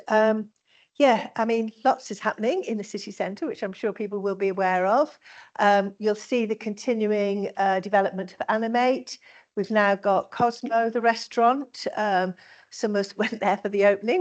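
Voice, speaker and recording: A woman's voice: medium pitch, steady tone, normal speed. A woman speaking as a councillor at a council meeting, giving an upbeat, chatty update. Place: council chamber.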